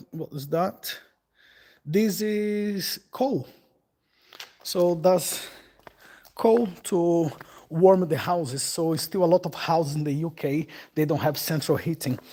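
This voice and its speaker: A man's voice: on the high side, mellow. A middle-aged man from Brazil with a Brazilian Portuguese accent, chatting casually on a phone live stream and answering viewers. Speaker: vlogger.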